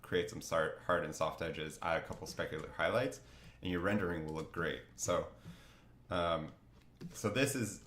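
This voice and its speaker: Low male voice, sniffly from a cold, loose rambling livestream talk, roomy faint-noise recording. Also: moderate pace, a bit bright. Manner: rambling, many fillers and restarts. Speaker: artist streaming a drawing session. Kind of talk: casual livestream chat while drawing.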